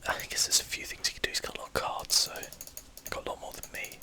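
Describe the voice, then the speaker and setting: Whispering southern English man, ASMR gaming. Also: quick pace. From southern England, UK. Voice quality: breathy, unvoiced whisper. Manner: casual, chatty, musing aloud. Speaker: host of an ASMR gaming channel. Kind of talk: ASMR game commentary.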